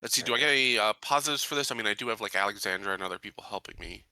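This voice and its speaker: A man's voice: lowish voice, racing, sharp tone, no room sound, poor audio. A man chatting casually as a player in a tabletop game, hesitant and piecing his thoughts together with many fillers.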